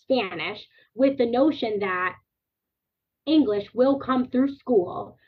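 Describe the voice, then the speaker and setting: A woman's voice: fairly high pitch, takes it slowly, husky. Earnest Dominican-born woman on a tinny line. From Dominican Republic. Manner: earnest, animated, talks in long chains of clauses. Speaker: guest. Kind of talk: podcast interview.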